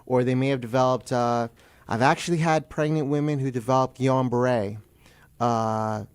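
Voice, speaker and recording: An American man, an attorney guest on a radio interview, explaining things in plain terms with halting self-corrections. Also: medium pitch, clean tone.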